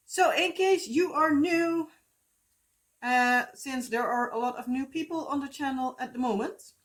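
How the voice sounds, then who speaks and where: A woman in her thirties with a Dutch accent, chatting casually and rambling with lots of fillers and asides as a crafting vlogger, recorded on a phone at home. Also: on the high side. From Netherlands.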